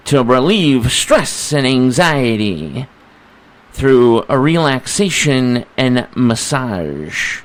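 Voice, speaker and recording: An American man hosting a live radio interview with a deliberate, announcer-like delivery. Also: mid-range voice. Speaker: radio talk show host.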